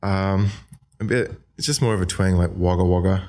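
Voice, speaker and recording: A soft-spoken man in his twenties with an American accent, raised in Australia, talking thoughtfully as a podcast guest with frequent ums. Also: sits low, fairly even intonation, normal speed. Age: 20s. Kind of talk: casual interview talk.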